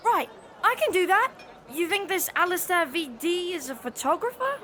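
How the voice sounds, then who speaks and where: A woman's voice: very high-pitched, sing-song, moderate pace, a crowd in the background. An actress playing a bold, cheeky young girl sleuth in an audio drama, brash and direct, fond of gossip. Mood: eager. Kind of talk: scripted audio drama acting. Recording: music behind the voice.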